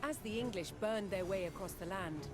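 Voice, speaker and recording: A woman reading scripted historical documentary narration in a measured, dramatic storytelling manner. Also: mid-range voice. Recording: loud music under the voice. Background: loud background music.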